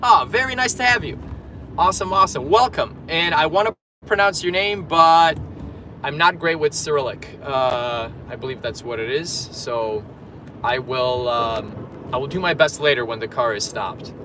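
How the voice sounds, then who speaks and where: A man's voice: fairly high pitch, moderate pace, background noise. A man from Poland, a fitness coach, chatting casually on a livestream while driving and reading viewer comments aloud. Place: in a car in traffic.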